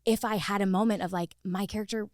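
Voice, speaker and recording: A woman's voice: medium pitch, steady tone, quick pace, darkish tone, dry recording, clean studio sound. A woman talking conversationally as an interview guest, telling stories with frequent "like" and acted-out quotes. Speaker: podcast guest, actor turned director.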